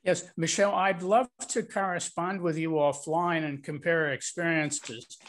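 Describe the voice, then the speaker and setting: A man's voice: fairly high pitch, steady tone, unhurried, fairly bright tone, slight background noise. A man asking a question on a video call in a friendly, rambling way, with asides along the way. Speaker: club member asking a question.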